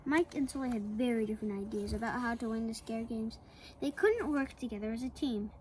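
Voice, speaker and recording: A woman reading a children's picture book aloud, slowly and with playful asides to the young listener. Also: a little high, darkish tone, slight background noise, low-quality recording. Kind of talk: read-aloud storytelling for kids.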